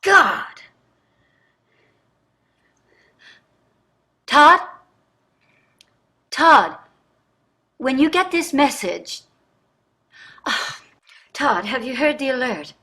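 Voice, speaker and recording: Casting: actress as anxious, indignant rich wife in a stage drama, slightly high and piercing, emphatic and morally earnest. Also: female voice, animated, takes it slowly, pauses often, pushed and bright, full character voice. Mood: alarmed. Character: wealthy, anxious, guilt-ridden wife, indignant and combative. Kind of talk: staged play reading, marital argument.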